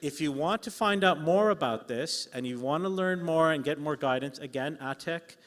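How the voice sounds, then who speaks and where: A man's voice: on the high side, normal speed, a bit bright. A man speaking live on a conference panel, persuasive and emphatic, in short punchy phrases. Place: large indoor conference hall. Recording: echoey hall sound system.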